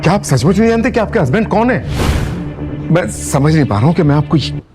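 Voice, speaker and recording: An actor playing a shaken, agitated older ex-army uncle in an audio drama, halting and repeating his words. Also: on the high side, very animated, music in the background. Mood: stunned, grave. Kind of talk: Hindi audio drama acting.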